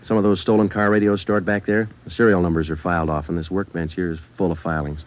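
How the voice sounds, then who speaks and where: Actor as a low-voiced deadpan police detective. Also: male voice, fast talker, close to an everyday voice. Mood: stern. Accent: American. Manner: deadpan, matter-of-fact. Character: terse, businesslike male police detective narrating his case. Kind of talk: 1940s radio acting, clipped procedural narration. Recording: dull vintage radio recording with music.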